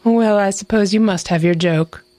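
A woman voicing a sly, polite mother fox who is thin and hungry, talking smoothly and flatteringly. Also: medium pitch, normal speed, dry recording, voice pushed up, close to an everyday voice.

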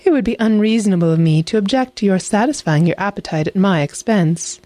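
A woman voicing a sly, polite mother fox who is thin and hungry, talking smoothly and flatteringly. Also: mid-range voice, fairly quick, no echo, pitched above the performer's own voice, natural voice.

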